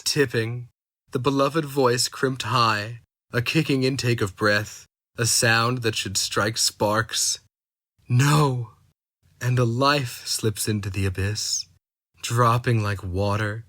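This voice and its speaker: A man giving a slow, intense dramatic reading of a dark fantasy story. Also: mid-range voice. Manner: slow, brooding, intense delivery. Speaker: narrator.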